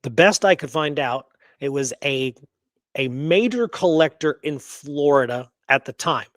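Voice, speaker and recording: A man's voice: a little high, lively intonation, unhurried, a bit bright, dry recording, clean studio sound. A man hosting a history show, talking through research casually and emphatically, repeating points for stress.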